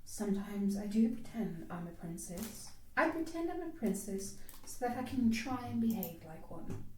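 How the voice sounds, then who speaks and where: A woman's voice: high-pitched, normal speed, a little hiss or hum, voice pushed up. Proud, kind young storybook heroine, played by a woman; self-controlled, earnest delivery, roomy and distant. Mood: quiet, steady. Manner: steady, earnest, restrained. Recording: roomy echo, distant microphone.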